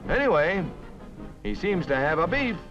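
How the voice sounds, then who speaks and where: A male American newsreel narrator from the 1950s with a highish, very animated voice. He delivers the script in punchy, dramatic fashion, on a dull old soundtrack with music behind him. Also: moderate pace.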